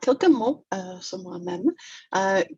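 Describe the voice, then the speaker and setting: A woman's voice: medium pitch, lively intonation, slow pace, dark tone, husky, muffled recording. A woman from Toronto with an English Canadian accent, speaking French as a second language in a webinar, hesitant and self-deprecating with many fillers. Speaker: negotiation trainer. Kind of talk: webinar presentation.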